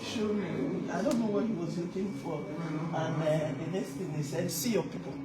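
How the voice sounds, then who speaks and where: A Nigerian woman with a Nigerian accent answers questions in a soft, easygoing way, laughing lightly as she talks. She sounds some distance from the mic, and her voice echoes around the room over a loud crowd. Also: lowish voice, normal speed. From Nigeria, Ogun State. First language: Yoruba. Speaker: church member interviewed. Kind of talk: casual interview answers.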